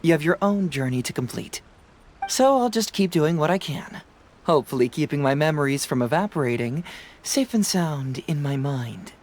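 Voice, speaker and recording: A woman playing an easygoing, inquisitive young detective in a video game, musing theatrically and speaking with smooth persuasion over music. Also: deep voice, animated, normal speed, a little mellow, slight background noise. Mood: wistful, tender. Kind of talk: scripted video game dialogue. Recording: music behind the voice.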